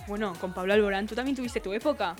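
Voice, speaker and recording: Young woman, mid-pitched, bubbly and teasing, faint music underneath. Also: fast. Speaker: podcast co-host. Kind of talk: casual chat.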